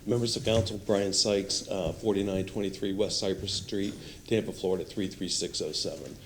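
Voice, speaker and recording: Hoarse man losing his voice. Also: sits low, steady tone, normal speed, slight background noise. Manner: orderly, numbered points. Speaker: applicant's representative. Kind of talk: public testimony. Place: city council chamber.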